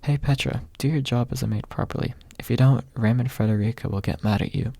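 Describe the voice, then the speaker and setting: A man reads as a young fantasy hero with a casual, gently chiding way of talking. The voice is relaxed and creaky, close and dry, in soft audiobook style. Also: medium pitch, quick pace, no room sound. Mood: mild scolding.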